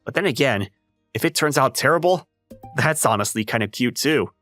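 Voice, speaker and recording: A man playing a flustered, introverted high school boy and aspiring writer in an anime-style comic dub, animated and prone to exasperated lecturing. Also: moderate pace, faint music behind, lightly acted. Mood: wry narrating.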